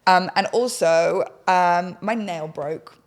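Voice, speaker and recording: Young woman, slightly husky, lowish voice, chatty and rambling, podcast mic in a bright home studio. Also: takes it slowly. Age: young adult. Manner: chatty, rambling, self-deprecating. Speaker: podcast host. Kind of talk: casual get-ready-with-me chat. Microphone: desk podcast mic on an arm.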